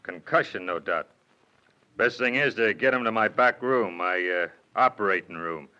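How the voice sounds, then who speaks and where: An actor playing a gruff, suspicious frontier doctor who uses pompous medical words, on a lo-fi vintage radio recording. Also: male voice, lowish voice, moderate pace, sharp tone. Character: suspicious male frontier doctor in league with outlaws. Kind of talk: 1940s radio acting.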